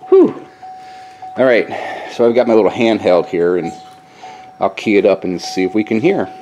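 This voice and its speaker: A man's voice: lowish voice, normal speed, warm tone. A middle-aged man giving a casual hands-on walkthrough in a folksy, colloquial way, recorded on a handheld camera. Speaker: DIY vlogger. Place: in and around a pickup truck at a garage. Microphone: handheld camera mic. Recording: noisy handheld camcorder audio.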